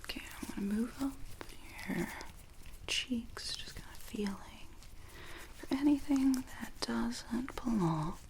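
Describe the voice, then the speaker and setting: A woman doing ASMR, playing a doctor at a checkup, soft-spoken and often whispering as she asks gentle questions close to a sensitive mic. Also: on the high side, expressive, gravelly, background noise. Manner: slow, gentle clinical questions. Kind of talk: ASMR medical exam roleplay.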